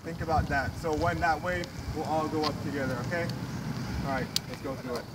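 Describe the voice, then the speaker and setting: A man's voice: on the high side, steady tone, fairly quick. A man coaching a youth drill team in an outdoor parking lot, earnest and repetitive, heard on a phone camera. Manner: earnest, repeats instructions with many fillers. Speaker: drill team member giving feedback. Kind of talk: casual coaching talk. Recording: distant phone audio, loud outdoor noise.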